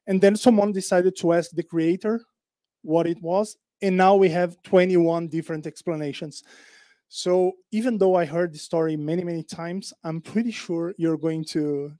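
A man's voice: high-pitched, fairly even intonation, normal speed, mellow, no echo. A man in his forties moderating a panel, chatty and joking, using a handheld mic in a meeting room. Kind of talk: panel conversation.

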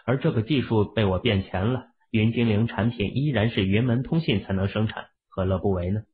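A man's voice: fairly low pitch, brisk, close to an everyday voice. A man playing a self-assured, teasing young husband with a casual, bantering delivery in an audiobook. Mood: matter-of-fact, smug. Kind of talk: acted audiobook dialogue. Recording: phone-like, band-limited audio.

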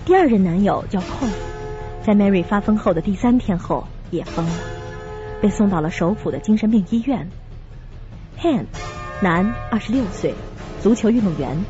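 A woman's voice: mid-range voice, lively intonation, normal speed, long pauses, mellow. A woman giving scripted narration for a radio drama. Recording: dull lo-fi sound, music behind.

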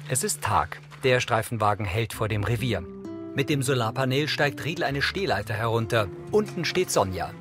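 A male narrator with a medium-pitched voice reads scripted audio description. His delivery is measured and matter-of-fact, with music clearly playing underneath. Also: lively intonation. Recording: studio voice over music.

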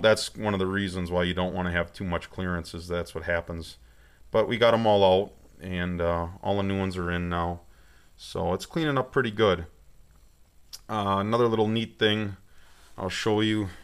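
A man's voice: low voice, steady tone, moderate pace, smooth. A man speaking informally and plainly as he explains his hands-on rebuild project from behind a handheld camera in a workshop. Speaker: amateur tractor rebuilder presenting a project update.

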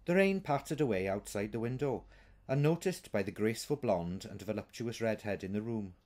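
A man narrates a written story expressively. His voice sits fairly low, and its pitch moves widely as he reads. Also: lively intonation, brisk, darkish tone. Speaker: author reading his short story.

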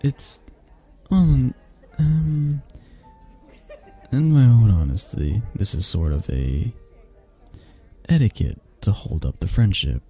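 A man playing a possessive, flirtatious mafia boss in a romantic audio roleplay, husky and gravelly, speaking with intimate condescension and long pauses. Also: mid-range voice, lively intonation, dark tone, exaggerated voice. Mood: smooth, businesslike. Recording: music behind the voice. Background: background music.

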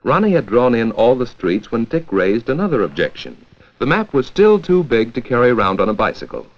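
An American man narrating a 1940s educational film from a script, with a clear, measured storytelling delivery. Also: mid-range voice, wildly swooping pitch. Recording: dull, lo-fi 1940s 16mm film soundtrack.

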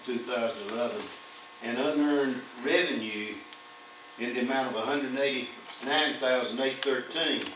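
A man's voice: mid-range voice, normal speed. Older man reading audit figures, echoey hall. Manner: reads figures steadily, with fillers. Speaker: auditor presenting to town council. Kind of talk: formal financial report reading. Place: municipal council chamber. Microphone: podium mic, far camera. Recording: echoey distant room audio with hum.

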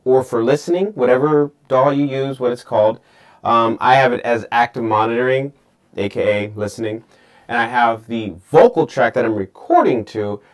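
A young man giving an informal hands-on tutorial, explaining step by step and often checking in with the listener. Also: mid-range voice. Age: young adult. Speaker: tutorial host and musician. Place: small home studio, indoors.

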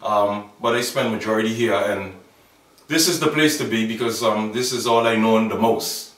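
A man's voice: on the low side, fairly bright tone, far from the mic. A man in his thirties with a Bahamian accent, speaking earnestly in an interview and stopping often to restart his sentences. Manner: earnest, halting, with many fillers and restarts. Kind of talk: documentary interview. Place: outdoors by a wall in a yard. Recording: echoey, off-mic camera sound.

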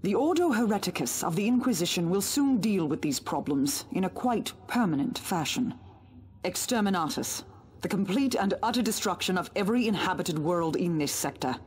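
A woman playing an imperious, threatening Inquisitor in a video game, speaking in a measured, formal way. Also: on the low side, expressive, a little mellow, slight background noise, lightly acted. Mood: cold, formal. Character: stern, cold female Inquisitor, imperious and threatening. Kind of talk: dramatic video game cutscene acting.

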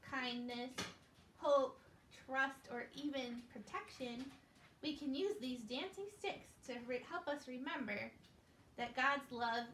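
High-pitched woman telling stories to children, echoey. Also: bright, forceful tone. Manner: simple, encouraging talk addressed to small children. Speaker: children's puppet show host and storyteller. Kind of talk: scripted children's storytelling. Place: living room, indoors. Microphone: distant camera. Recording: echoey, noisy room, distant camera microphone.

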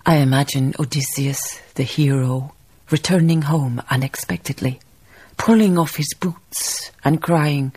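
An actress in a radio drama playing a reflective, melancholy Danish baroness narrating her life in a slow, musing, literary manner, husky and breathy. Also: deep voice, a little mellow. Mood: wistful.

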